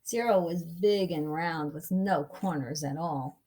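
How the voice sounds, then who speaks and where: A woman reading a picture book aloud as a storyteller, with expressive, deliberate and dramatic phrasing for young listeners. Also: sits low. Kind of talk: expressive children's read-aloud narration.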